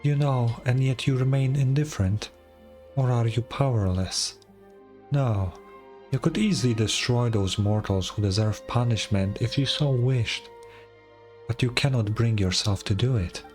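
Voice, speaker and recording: An ancient dragon lord played by a man speaks in a stern, scornful manner. The delivery is deliberate and grandiose, like fantasy game dialogue, with faint music underneath. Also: mid-range voice, darkish tone, lightly acted. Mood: scornful, solemn.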